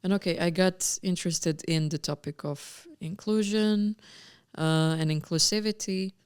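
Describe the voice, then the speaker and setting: A woman's voice: lowish voice, a little slow, clean tone. A woman moderating a live panel discussion, thinking aloud and rephrasing as she goes.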